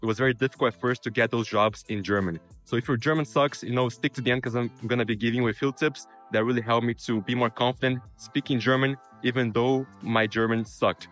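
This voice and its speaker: Fast-talking Brazilian-born man. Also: medium pitch, steady tone, a bit bright, faint music behind. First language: Portuguese. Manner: quick, informal, frequent "you know" fillers. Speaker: vlogger giving career tips. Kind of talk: casual advice talk to camera.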